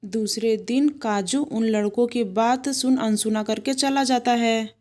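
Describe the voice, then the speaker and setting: A woman narrating an animated moral tale in a scripted storytelling style. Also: on the high side, a little slow.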